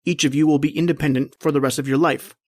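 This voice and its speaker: Radio drama executor male actor: stiffly formal, deliberate, dutiful gentleman, very little room sound. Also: fairly high pitch, fairly even intonation, dry recording, clean studio sound, natural voice. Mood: formal, measured. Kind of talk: old-time radio drama acting.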